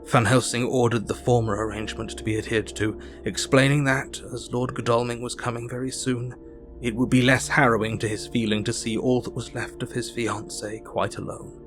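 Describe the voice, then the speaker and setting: Doctor, played by a man, audio drama diarist: medium-pitched, measured, literate, sombre. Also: flat delivery, music in the background, natural voice. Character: sombre, reflective male Victorian doctor narrating his diary. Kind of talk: dramatised diary narration.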